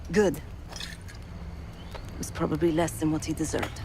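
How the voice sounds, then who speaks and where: A woman's voice: sits low, little pitch movement, slow pace, gravelly, background noise, heavily acted. An actress playing a hard, unsentimental female covert operative in a video game, cool and blunt. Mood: hard, dismissive. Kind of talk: video game cutscene acting.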